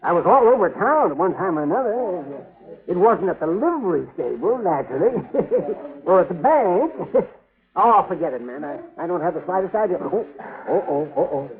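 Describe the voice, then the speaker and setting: Crusty older town doctor, old radio western, high animated voice, wry and rambling, tinny narrow recording. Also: male voice, normal speed. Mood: musing, distracted. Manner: wry, bantering, hemming and rambling. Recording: thin band-limited old radio recording.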